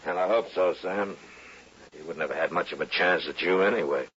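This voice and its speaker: A man's voice: deep voice, normal speed, a bit bright, husky, exaggerated voice. An actor playing a stern, weary, dry-spoken frontier lawman in an old-time radio western. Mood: dry, relieved. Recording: muffled old radio recording.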